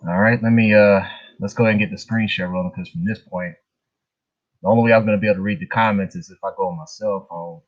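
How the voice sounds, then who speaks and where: A man's voice: on the low side, steady tone, fast talker. A man hosting a casual livestream, chatting informally with his viewers. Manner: informal, chuckles between remarks. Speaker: livestream host. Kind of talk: casual live chat with viewers. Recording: dull, muffled lo-fi stream audio.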